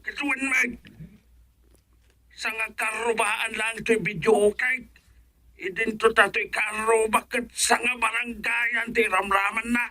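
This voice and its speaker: A man's voice: sits high, flat delivery, measured pace, pushed and bright, full character voice, low-quality recording. A man with an Ilocano accent playing an agitated neighbour on the phone in a radio comedy drama.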